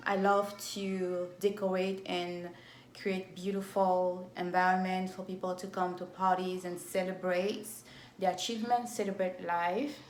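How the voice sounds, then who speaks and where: Woman, 30s, Cameroonian French accent, talks slow and careful to camera, roomy phone sound with a faint hiss. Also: fairly low pitch, flat delivery. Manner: speaks slowly and deliberately, with restarts. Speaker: event planner and entrepreneur. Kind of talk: casual vlog talk to camera. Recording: echoey room on a phone.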